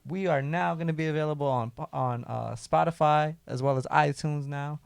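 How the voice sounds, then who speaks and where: A man hosting a casual podcast, hoarse from losing his voice and talking in a laid-back, slangy way. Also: fairly high pitch, normal speed, dry recording. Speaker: podcast host. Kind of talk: casual chat.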